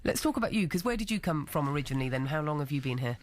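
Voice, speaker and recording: A woman's voice: low-pitched, animated, racing, a little hiss or hum. A woman presenting a radio show, interviewing a studio guest with probing, conversational questions. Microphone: studio microphone.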